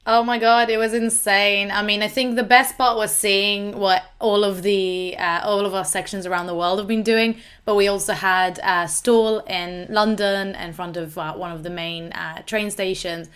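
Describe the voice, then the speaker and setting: A woman co-host with a hoarse, croaky voice, chatting in a lively way. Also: medium pitch, moderate pace, few pauses. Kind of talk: casual chat.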